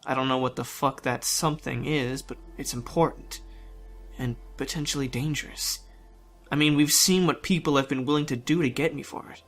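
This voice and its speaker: A man's voice: mid-range voice, fairly even intonation, normal speed, a bit bright, faint music behind, close to an everyday voice. A man acting a frank, casual teenage boy in a zombie apocalypse audio drama. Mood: earnest, casual. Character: frank, foul-mouthed teenage boy with secret.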